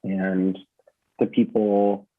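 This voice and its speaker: Low-voiced man on a phone-like line. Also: little pitch movement. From San Francisco Bay Area, United States. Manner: earnest, thoughtful, halting with repeated words. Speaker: gym founder, interview guest. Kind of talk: reflective interview answers. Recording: muffled phone-like call audio.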